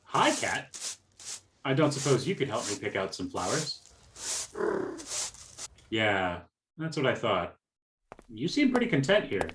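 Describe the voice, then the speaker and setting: An awkward, lovelorn male customer played by an actor in a radio play. He is hesitant and self-deprecating, with a very lively, swinging pitch. The recording is roomy and echoing. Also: a little slow, long pauses, noisy background. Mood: mild, tentative.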